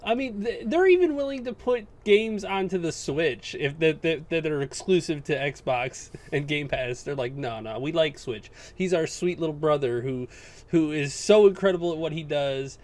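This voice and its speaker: Guy on a gaming podcast, voice a bit high, casual and sweary, animated chat. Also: moderate pace. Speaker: podcast co-host.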